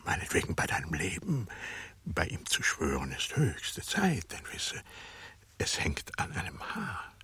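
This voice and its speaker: An actor playing a weary, irascible middle-aged Roman governor in a radio drama, hoarse and half-whispering, with halting commands. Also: male voice, sits low, huge pitch swings, sharp tone, no room sound, heavily acted. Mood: menacing. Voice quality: hoarse, breathy rasp.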